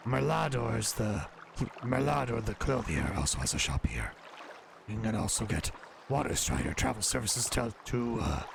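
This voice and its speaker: A man voicing a proud dark elf townsman from a video game, giving a measured rundown in a deep, gravelly voice. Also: very animated, background noise, heavily acted. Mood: informative. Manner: measured, informative recital. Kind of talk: voicing in-game dialogue in a let's play.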